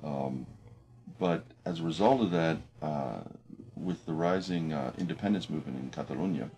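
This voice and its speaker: A man in late middle age, a business professor who grew up speaking French, talking thoughtfully in a documentary interview in his office, with many pauses and restarts. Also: rumbling low, warm tone, a little hiss or hum. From United States. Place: office with desk and window.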